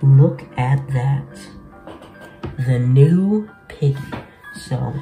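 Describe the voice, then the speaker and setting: A man's voice: fairly high pitch, mellow, low-quality recording. Young man hosting a game walkthrough over music. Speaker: gaming video host. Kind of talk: casual game walkthrough commentary. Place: small bedroom, indoors.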